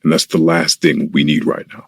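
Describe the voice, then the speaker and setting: A man playing a grave, authoritative in an audio drama, speaking with measured weight. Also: very deep voice, flat delivery, vocal fry, a bit bright, full character voice. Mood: alarmed, grave. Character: grave, secretive male President of the United States. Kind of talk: audio drama acting, briefing and formal speech. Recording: echoey, distant, faint noise.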